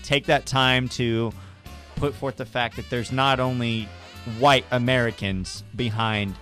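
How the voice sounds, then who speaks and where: Guy hosting a radio show, chatty and informal, medium pitch, bit of music under him. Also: fairly even intonation, measured pace, bright, forceful tone. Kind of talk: casual radio chat.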